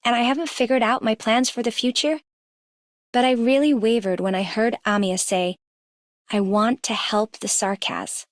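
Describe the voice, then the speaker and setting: An actress voicing a reserved, thoughtful female mercenary in a video game, measured and reflective. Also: a little high, steady tone, no room sound, clean studio sound, lightly acted. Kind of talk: scripted game character voice lines.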